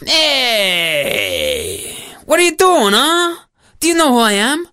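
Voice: mid-range voice, huge pitch swings, pushed and bright, clean tone, dry recording, studio quality, pitched above the performer's own voice, full character voice. Storyteller putting on a menacing, boastful storybook goat. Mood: challenging. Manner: drawn-out threats, challenging questions. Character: huge menacing male mountain goat, bullying and boastful. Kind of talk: storybook character voice.

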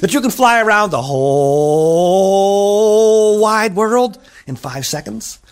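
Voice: mid-range voice, animated, slow. A storyteller voicing a clever, bold young princess in a spoken children's tale, coaxing with eager repeated questions.

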